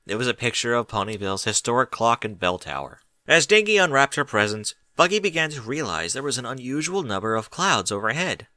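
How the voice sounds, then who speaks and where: A man reading a story aloud as an expressive audiobook narrator. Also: mid-range voice, a little fast, few pauses, dry recording.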